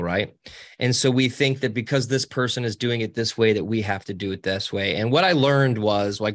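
A podcast guest, a man with a high voice and a cackling laugh. He talks casually and cheekily, his pitch swooping up and down as he rambles and restarts his sentences. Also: fairly bright tone. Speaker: podcast guest, social media co-founder. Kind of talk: casual interview talk.

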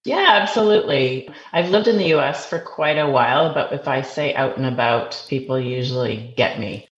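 A woman's voice: a bass voice, moderate pace. A Canadian woman, a podcast guest, telling stories about her childhood in a chatty way and restarting sentences as she goes. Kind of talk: conversational interview. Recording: roomy, dull remote recording with faint noise.

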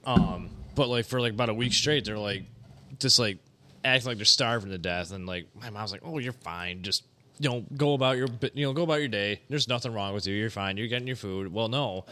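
A man with a bright, forceful voice and a slight rasp from yelling chats on a podcast in a home garage. He tells stories with animated energy and laughs easily. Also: on the low side, brisk. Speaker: podcast co-host. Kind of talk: casual chat.

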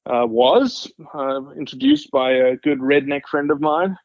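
A man's voice: on the high side, sing-song, a little slow, no room sound, lo-fi sound. A man in his forties from South Africa with a South African accent, a podcast guest talking casually and humbly, with many restarts. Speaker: guest, wildlife scientist.

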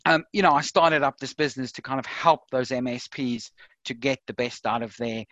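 A man's voice: medium pitch, a little fast, pushed and bright, no room sound. A middle-aged man with a South African accent, talking loosely as a podcast guest, full of fillers and repeated words. The recording is dry and a little dull. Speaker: podcast guest, software consultant. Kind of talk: casual interview chat.

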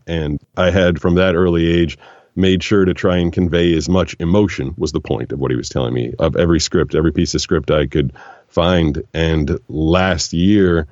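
A man with a very low, deep voice tells stories in an animated, relaxed way, slipping into other voices when he quotes people. The room is dry and close. The sound is a little dull and lo-fi. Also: dry recording. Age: 40s. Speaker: guest, voiceover actor. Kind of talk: relaxed storytelling conversation.

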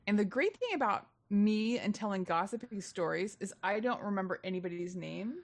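A woman comedian, mid-pitched and chatty, spins gossipy stories from the road in a loose podcast conversation. She talks with animation and an easy, storytelling flow. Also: expressive, brisk, creaky, dry recording. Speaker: stand-up comedian guest. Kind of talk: casual podcast chat, storytelling.

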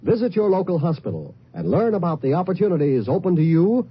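Casting: American male announcer, higher-pitched, husky, scripted vintage radio appeal, muffled recording. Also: sing-song, fast talker. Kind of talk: scripted 1950s radio public service announcement.

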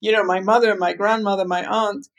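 Gravelly older woman, chatty interview guest. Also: medium pitch, steady tone, brisk. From Lebanon. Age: 70s. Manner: talkative, meandering, many fillers and restarts. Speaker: guest, food writer. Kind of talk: interview over a call.

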